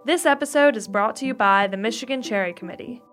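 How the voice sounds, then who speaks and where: A woman's voice: a little high, fast, over music. A woman reading a scripted sponsor ad in a polished, upbeat way. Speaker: ad reader. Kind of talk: scripted ad read.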